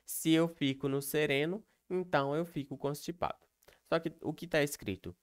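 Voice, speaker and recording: A young man teaching a lesson over slides in a relaxed, conversational voice. He works through each point patiently, pausing often to ask whether everything is clear. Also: a little high. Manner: explains step by step, checks in with "beleza?" and "ok?". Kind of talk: recorded online lecture.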